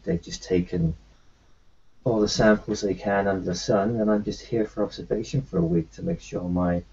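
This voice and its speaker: A man roleplaying his character in a tabletop game, talking in a halting way full of fillers and restarts. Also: deep voice, vocal fry, darkish tone, a little hiss or hum. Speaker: player voicing his doctor character. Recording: dull lo-fi recording.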